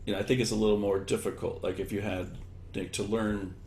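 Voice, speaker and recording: An echoey room and some background noise surround this man's rather low voice. He talks conversationally as an interview guest. His answers come haltingly, built up from one example after another as he thinks. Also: flat delivery, a little mellow. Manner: halting, thinking aloud in loose chains of examples. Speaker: interviewed guest, education technology expert.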